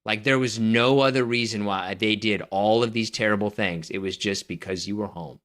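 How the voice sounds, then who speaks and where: A man's voice: fairly low pitch, steady tone, moderate pace, a bit bright. A man co-hosting a casual podcast, skeptical of his partner's list and arguing his own picks at length. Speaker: podcast co-host. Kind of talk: casual debate chat.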